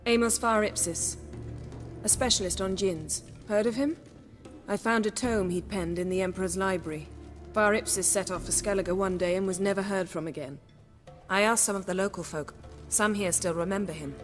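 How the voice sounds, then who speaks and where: Woman voicing a proud sorceress, medium pitch, poised, imperious, dry teasing edge, fantasy game dialogue, music behind. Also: moderate pace, lightly acted. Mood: earnest, determined. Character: proud, commanding, dryly teasing female sorceress. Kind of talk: video game cutscene dialogue.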